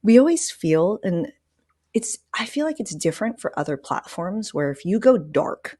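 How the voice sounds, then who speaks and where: A woman's voice: mid-range voice, sing-song, normal speed, dark tone, breathy edge, no room sound, studio quality. A woman hosting a podcast, talking casually and informally with an emphatic delivery. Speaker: podcast host. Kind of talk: casual conversational podcast talk.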